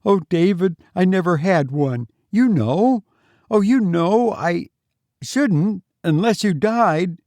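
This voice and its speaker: A man's voice: high-pitched, expressive, slow pace, a little mellow, no room sound, pitched above the performer's own voice, heavily acted. A man voicing a frightened, tearful wife and mother in a storybook reading, pleading in anxious exclamations.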